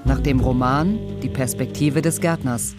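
A woman's voice: extremely low pitch, a little slow, music in the background. A woman reading scripted title credits for a radio drama as an announcer.

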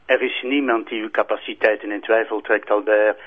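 Smooth flemish senior official, soothing, talks down a bit, tinny telephone sound. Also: male voice, lowish voice, fairly even intonation, brisk. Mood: placating. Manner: soothing, patronising, diplomatic. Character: smooth, placating male senior magistrate. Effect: telephone filter. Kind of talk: audio drama acting. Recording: thin, phone-like band.

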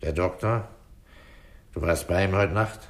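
A very low-pitched male voice in a roomy old radio recording. a gruff police commissioner who questions in short, blunt phrases, unhurried and probing, in the manner of a 1960s German radio play. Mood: insistent, gruff. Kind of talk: 1960s German radio crime drama acting.

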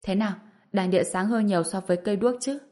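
A woman's voice: medium pitch, quick pace, warm tone, natural voice. A woman voicing a proud, playful male inventor and household master, easygoing and a little smug, in an audiobook. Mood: pleased, teasing.

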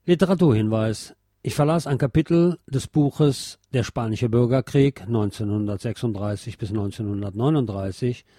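Male documentary podcast narrator: soft-edged mellow voice, measured and factual scripted read, very dry recording. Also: medium pitch, no room sound. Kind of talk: scripted narration, reading a history book aloud.

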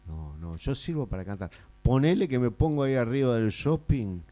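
A man's voice: medium pitch, lively intonation, moderate pace, warm tone, close to an everyday voice. A man playing a naive, stubborn would-be singer with an Argentine Rioplatense accent, eager and insistent, in an improvised radio drama.

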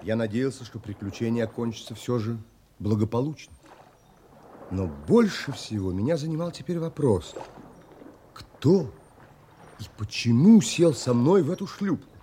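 A man's voice: mid-range voice, animated, moderate pace, mellow, a little hiss or hum, natural voice. An actor playing a proud, indignant castaway who narrates his sea adventure in an audio drama, dramatic and literary. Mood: tense narration.

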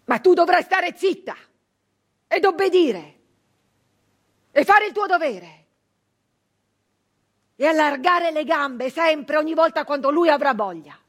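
An embittered, defiant brigand woman from the old Italian south, in a notably high voice. She delivers a theatrical monologue with insistent repetition and weighty pauses, able to move between hushed and forceful delivery. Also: lively intonation, heavily acted. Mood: bitter, forceful.

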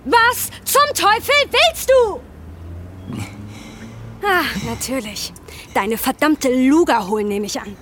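A woman's voice: sits high, sing-song, takes it slowly, pauses often, heavily acted. An actress playing an angry, scornful woman in a radio drama, curt and exasperated. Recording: clearly noisy scene with clicks.

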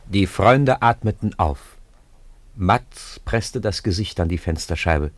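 Deep-voiced, expressive male narrator. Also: takes it slowly, no room sound. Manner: expressive storytelling with lively intonation. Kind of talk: scripted narration for a 1950s radio play.

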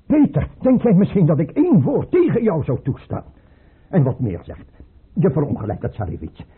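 A man playing a suspicious Russian emperor in a period radio play, erratic and husky, swinging from muttered questions to shrill commands. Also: wildly swooping pitch, normal speed, full character voice. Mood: suspicious, testing. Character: volatile, suspicious Russian emperor, imperious yet pleading. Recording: muffled, tinny old radio recording.

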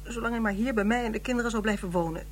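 A woman's voice: on the high side, racing, slight background noise, lightly acted. An actress playing a proud, bitter widow in her fifties, curt and formal, in a radio drama. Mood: weary, controlled. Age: 50s.

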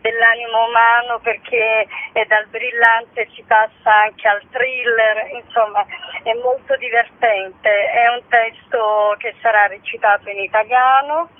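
A woman from Sicily, an actress, chatting hesitantly as a guest in a casual interview, pausing mid-phrase. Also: a little high, flat delivery. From Sicily, Italy. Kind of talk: casual promotional radio interview. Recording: band-limited phone-like radio line.

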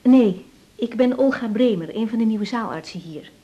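On a slightly dull recording, an actress plays a young woman doctor in a radio play. Her voice is rather high but very mellow, polite and gently firm. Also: animated, normal speed, natural voice. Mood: kind, reassuring. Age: young adult. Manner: polite, gently reassuring, matter-of-fact. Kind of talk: radio drama acting.

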